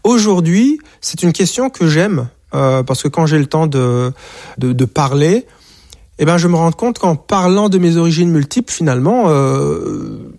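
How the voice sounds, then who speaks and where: A man's voice: fairly high pitch, lively intonation, unhurried, gravelly, darkish tone, dry recording, clean studio sound. A man in his forties from Rwanda, a singer being interviewed, speaking hesitantly with many fillers and repeated words. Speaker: singer and author, interview guest. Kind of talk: radio or TV interview.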